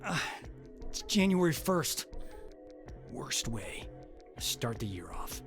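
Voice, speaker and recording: An actor voicing a modest, good-natured young firefighter in a video game, mild and unassuming. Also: male voice, mid-range voice, big pitch swings, slow pace, vocal fry, full character voice. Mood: downcast. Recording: game audio with music behind.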